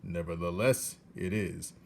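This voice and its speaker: A dutiful storybook soldier of the king, as a man reads him, stern and formal. The voice is lowish and emphatic, with clear noise behind it. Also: unhurried, darkish tone. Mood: official. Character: stern, dutiful king's soldier delivering royal orders. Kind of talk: storybook character reading.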